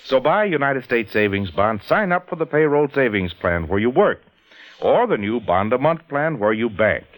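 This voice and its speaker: Persuasive, lively 1940s radio pitchman. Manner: persuasive, measured. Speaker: radio announcer. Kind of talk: 1940s radio public-service pitch, scripted.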